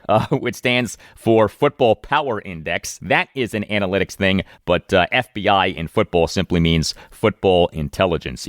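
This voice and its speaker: An American man hosting a sports podcast solo, speaking in an emphatic, deliberate announcer style. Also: lowish voice, fairly bright tone, dry recording, clean studio sound. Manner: emphatic, deliberate announcer delivery with repeated phrases. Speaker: podcast host. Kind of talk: sports podcast monologue, reading listener mail.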